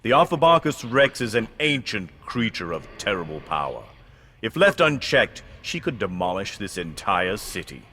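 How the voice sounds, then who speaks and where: An actor playing an ancient, commanding dark lord in an audio drama, speaking in a grandiose, formal and foreboding manner. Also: male voice, lowish voice, very animated, moderate pace, exaggerated voice. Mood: ominous warning.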